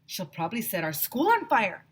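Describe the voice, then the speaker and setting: A woman's voice: mid-range voice, wildly swooping pitch, moderate pace, husky. A woman voicing a brash, teasing schoolboy who cracks jokes in a children's storybook. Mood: cocky. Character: cheeky, wisecracking schoolboy narrator of a children's story.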